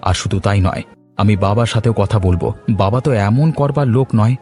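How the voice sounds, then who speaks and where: A quick, mellow male voice acted out as a righteous young master in a village cartoon. He is assertive and protective, over faint music. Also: fairly low pitch, lightly acted. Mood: righteous anger. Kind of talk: cartoon dialogue acting.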